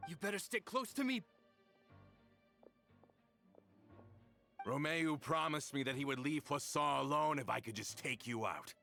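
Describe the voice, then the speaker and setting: A gravelly, bitter middle-aged traitor in a game cutscene, swinging widely in pitch as he hurls accusations. His tone is bright and biting, and faint music plays under him. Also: expressive, a little fast, full character voice. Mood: cold, bitter. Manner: accusing, resentful, rising to shouts. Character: bitter vengeful middle-aged man, betrayer. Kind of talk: dubbed game voice acting.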